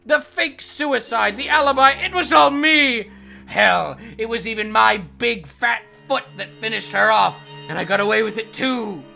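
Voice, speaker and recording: A man's voice: high-pitched, a little slow, vocal fry, sharp tone, soft background music, full character voice. A man voicing a pompous, vain middle-aged radio psychiatrist in a comic fandub, with haughty, theatrical, ornate diction. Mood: boastful outrage.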